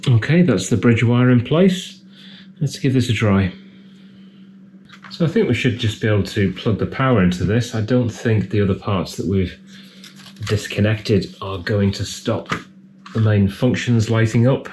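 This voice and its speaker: A man's voice: lowish voice, normal speed, darkish tone, slight background noise. A man giving casual, hands-on repair commentary as a technician, thinking aloud in a tentative way. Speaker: electronics repair technician presenting a repair.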